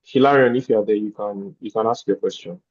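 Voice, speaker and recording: A man hosts a webinar Q&A in a low, slightly husky, mellow voice, hesitating often with ums. The call audio is dry and muffled. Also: fast, no room sound. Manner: soft, hesitant, frequent ums. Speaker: webinar host and moderator. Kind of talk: moderating an online webinar. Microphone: video call.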